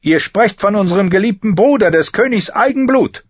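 A man's voice: high voice, expressive, moderate pace, husky, dry recording, highs cut off, voice pushed up. A man voicing a haughty, commanding queen in an audiobook reading. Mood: imperious. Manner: imperious, cutting.

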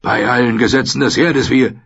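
A man's voice: mid-range voice, fairly even intonation, normal speed, fairly bright tone, breathy edge, dull audio, pitched above the performer's own voice. A man voicing an old, stern military commander in an audiobook, commanding and indignant. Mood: outraged. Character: old, stern, authoritative male military commander, quick to anger.

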